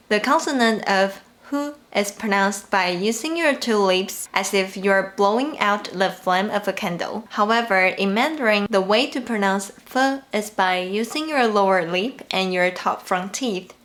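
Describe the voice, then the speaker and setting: A woman from Taiwan with a Taiwanese accent, giving a clearly explained, scripted lesson on pronunciation and slowing down to model example sounds. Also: medium pitch, fairly bright tone. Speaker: Mandarin teacher.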